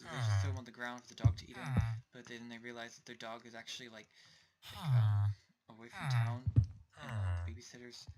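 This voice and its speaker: A young man casually narrating his game as he plays, rambling and talking to himself, his voice going a little hoarse. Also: mid-range voice, heavy background noise. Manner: rambling, self-talking, distracted asides. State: voice getting hoarse. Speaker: let's play host. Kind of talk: casual gameplay commentary.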